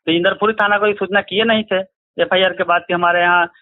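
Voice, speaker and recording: बिहार का एक आदमी, बिहारी लहजे में, फ़ोन इंटरव्यू में घटनाएँ सुनाता हुआ, अटक-अटक कर बोलचाल के अंदाज़ में।. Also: male voice, sits high, fairly even intonation, fast talker, dry recording. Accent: Bihari. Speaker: interviewee, relative of a crime victim. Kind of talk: recounting events in a phone interview. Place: phone call. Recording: tinny, choppy phone line.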